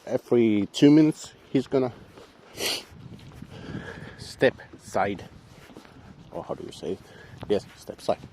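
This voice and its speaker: A man with a Finnish accent, Finnish as his first language, narrating a casual walk outdoors in halting English with wry, self-deprecating asides, recorded on a handheld camera. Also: mid-range voice, lively intonation, mellow, a little hiss or hum. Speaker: vlogger walking his dogs. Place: outdoors on a boardwalk through a swamp. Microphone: handheld camera mic.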